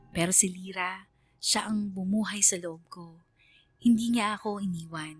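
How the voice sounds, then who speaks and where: A Filipino woman voicing a haunted letter sender, narrating a first-person radio horror story in a dramatic, brooding way with hushed drops. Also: medium pitch, dry recording, lightly acted. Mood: haunted, desolate.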